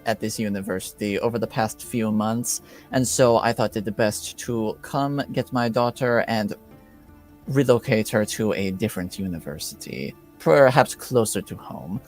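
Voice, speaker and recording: A man playing a proud, indignant nobleman father in a tabletop roleplaying game, speaking formally and with measured care. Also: lowish voice, expressive, soft background music. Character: proud aggrieved nobleman father, admitted member of assassin guild.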